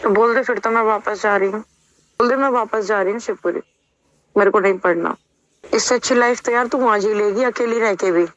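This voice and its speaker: A woman's voice: medium pitch, fairly quick, fairly bright tone, a little hiss or hum. A woman in a casual private phone conversation, blunt and insistent, often pressing with questions. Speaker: caller. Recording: dull lo-fi recorded phone call.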